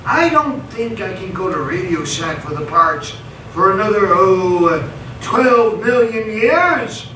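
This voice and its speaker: A man's voice: high voice, lively intonation, takes it slowly, bright, forceful tone, exaggerated voice. An actor playing a grumbling, sarcastic, reluctant male supervillain with gadgets in a live radio play. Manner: sardonic, exasperated. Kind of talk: live radio theatre acting. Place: stage performance in a roomy hall. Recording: echoey hall, distant mic, faint noise.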